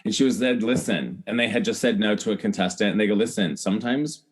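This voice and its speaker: A man speaking as a podcast guest in a casual, earnest, explanatory way, often halting to correct himself. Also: lowish voice, breathy edge. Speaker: podcast guest, celebrity hair stylist. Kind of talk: casual conversational interview.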